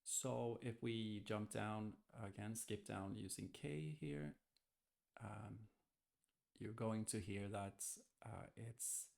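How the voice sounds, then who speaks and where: A man thinking aloud hesitantly as he gives a casual software testing walkthrough. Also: on the low side, little pitch movement, slow pace, gravelly. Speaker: software tester demonstrating. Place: indoors, screen-recorded voice-over.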